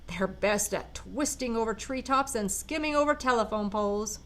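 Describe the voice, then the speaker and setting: A woman's voice: fairly high pitch, normal speed, a bit bright, lightly acted. A woman voicing a hearty, jolly old Santa Claus in a read-aloud storybook.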